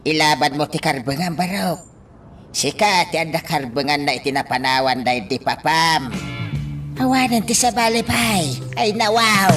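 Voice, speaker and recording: A man acting as a loyal, excitable older uncle in an Ilocano radio drama, with an Ilocano accent and frequent exclamations. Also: fairly high pitch, moderate pace, over music, natural voice. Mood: concerned, urging. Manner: exclamatory, sighing interjections. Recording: distant mic, faint background noise.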